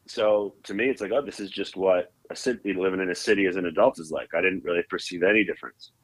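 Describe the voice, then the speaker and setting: A man's voice: low voice, fast, slightly rough. A young Canadian-born man, a comedian, chatting casually in an interview with many fillers and restarts. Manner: rambling, restarts often with fillers. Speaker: comedian guest.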